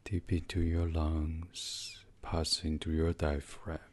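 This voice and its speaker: Very slow, deep, breathy male meditation guide. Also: completely flat delivery. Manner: hushed, unhurried, often slipping into whisper. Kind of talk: guided sleep meditation, soothing slow instruction.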